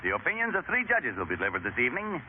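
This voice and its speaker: A man's voice: mid-range voice, huge pitch swings, very fast, phone-quality sound. A man announcing a 1930s radio advertisement in a formal, grand, emphatic way on a scratchy broadcast.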